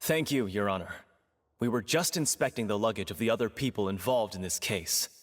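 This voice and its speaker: A man's voice: fairly low pitch, fairly quick, close to an everyday voice. An actor playing a dutiful male guard in a video game, reporting formally and matter-of-factly in a reverberant hall. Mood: businesslike.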